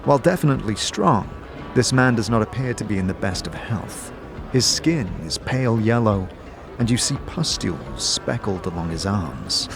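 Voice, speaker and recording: Man, fantasy audio drama narrator, animated intonation, mid-pitched, storytelling delivery, music underneath. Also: moderate pace, gravelly. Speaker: narrator and game master.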